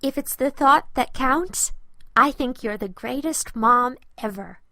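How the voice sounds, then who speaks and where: A woman voicing an eager, easily upset young boy in a children's storybook, in an expressive way. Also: high-pitched, a little slow, no echo, exaggerated voice. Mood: joyful.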